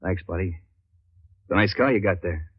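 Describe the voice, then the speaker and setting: An actor playing a big, menacing thug, slangy and falsely friendly, in 1940s radio crime drama style. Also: male voice, sits low, moderate pace, breathy edge. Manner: tough, slangy, needling. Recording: muffled old 1940s radio recording.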